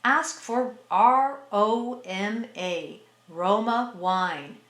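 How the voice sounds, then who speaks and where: A woman playing a grand sponsor announcer, reading an old-time radio advertisement in a measured way, in a roomy recording. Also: medium pitch, fairly bright tone. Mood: proud, promotional. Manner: measured, deliberate salesmanship. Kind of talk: 1940s radio advertisement read.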